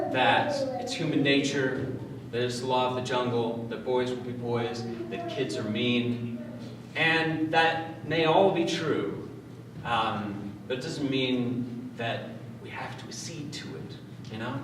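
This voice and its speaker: A man giving an informal public talk as a playwright, hesitant and pausing between short phrases. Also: mid-range voice. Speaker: playwright introducing his play. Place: large reverberant room at a live event. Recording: very echoey room, distant mic, noisy.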